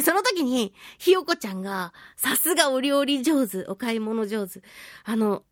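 A woman's voice: fairly high pitch, dry recording, clean studio sound. A woman hosting a solo talk show, chatting casually and telling stories in a playful, giggly manner with sound-effect words. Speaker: radio show host, voice actress.

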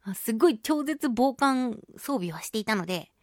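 A woman hosting a solo radio talk show, chatting about daily life in an animated, giggly, exclamatory way. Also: a little high, moderate pace, dry recording, clean studio sound. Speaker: voice actress.

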